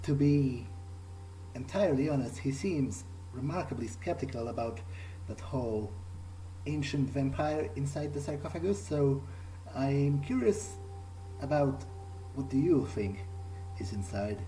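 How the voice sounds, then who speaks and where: A man improvising in character as he plays a game, speaking hesitantly and in a measured way with pauses. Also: mid-range voice, lively intonation, warm tone, a little hiss or hum, low-quality recording. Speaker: gamer playing in character. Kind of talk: improvised in-character role-play over a game.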